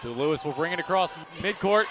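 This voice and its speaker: A man calling live college games as a radio play-by-play announcer, energetic and projecting, often raising his voice. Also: fairly high pitch, fast. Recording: clear live sports venue background noise.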